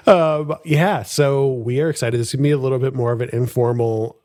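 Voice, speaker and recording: A man's voice: medium pitch, steady tone, darkish tone, no echo. Upbeat young man on a dry podcast mic. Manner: upbeat, laughs often, quick asides. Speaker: podcast co-host. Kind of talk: casual podcast chat.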